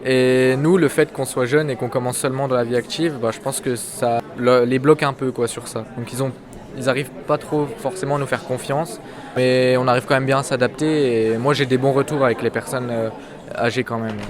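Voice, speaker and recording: A man's voice: medium pitch, fairly even intonation, brisk. An eighteen-year-old man, a student, answering interview questions in a casual, spontaneous way. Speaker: social work student being interviewed. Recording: outdoors amid busy crowd chatter. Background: crowd chatter outdoors.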